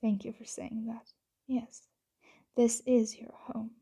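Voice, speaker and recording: Woman acting a breathy, slow, dark-voiced vampire mistress. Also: on the high side, little pitch movement, full character voice. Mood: tender, earnest. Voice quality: soft, breathy, often whispered. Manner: slow, hushed, gently commanding, formal wording. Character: possessive, tender female vampire owner addressing her servant. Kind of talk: ASMR roleplay. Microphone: close mic.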